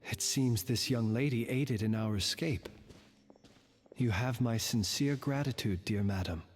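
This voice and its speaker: A man playing a composed, old-fashioned gentleman in a video game, formal and gallant, in a reverberant, slightly noisy recording. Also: medium pitch, unhurried, soft background music, lightly acted. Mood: courteous.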